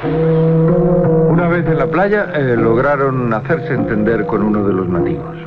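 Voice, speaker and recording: A man narrating a radio drama, telling the story with deliberate, halting suspense. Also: medium pitch. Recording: muffled 1980s radio recording with music. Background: music.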